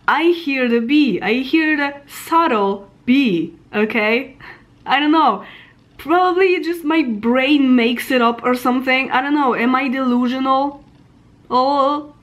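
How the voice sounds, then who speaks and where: A woman in her twenties with a Polish accent, chatting informally and self-deprecatingly as she muses aloud. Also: on the high side. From Poland. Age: 20s. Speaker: vlogger. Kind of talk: casual chat about pronunciation.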